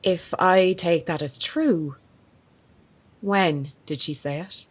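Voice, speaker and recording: A woman playing a sharp-witted, persistent investigative reporter in an audio drama, with an articulate, probing manner. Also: fairly low pitch, takes it slowly. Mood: pointed, confrontational. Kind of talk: naturalistic audio drama acting.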